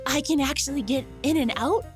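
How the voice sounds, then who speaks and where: A woman's voice: sits high, a little fast, over music, close to an everyday voice. A woman playing a headstrong, impatient young mecha pilot and inventor in an audio drama. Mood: eager.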